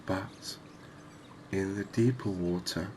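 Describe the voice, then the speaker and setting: A man with a deep voice murmurs ASMR commentary on a game. He takes his time, with long slow phrasing and little melodic movement. Some background noise and ambience sits behind him. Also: fairly even intonation. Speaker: host of a gaming series.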